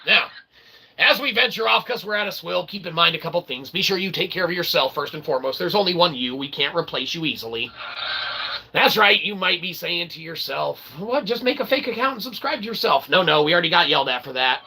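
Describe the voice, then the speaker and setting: A man's voice: fairly high pitch, animated, a little fast, creaky, noisy background. A man hosting a rambling, tongue-in-cheek monologue to his viewers, promoting his own work and often checking in with "okay". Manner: tongue-in-cheek, self-promoting, repeatedly tags phrases with "okay". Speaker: independent philosopher channel host.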